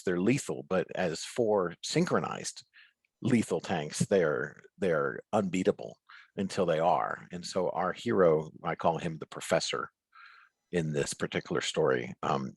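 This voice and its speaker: Very deep-voiced, husky man in conversation. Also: no room sound. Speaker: guest author. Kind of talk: reflective interview conversation over video call. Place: remote video call.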